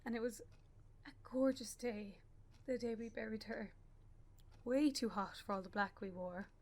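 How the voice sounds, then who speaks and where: An actress with an Irish accent playing a grieving young mother in a radio play, speaking haltingly in a naturalistic style. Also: on the high side, a little mellow. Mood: sorrowful reminiscing. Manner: halting, with pauses and repeated phrases. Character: grieving young bereaved mother, anguished and stubborn.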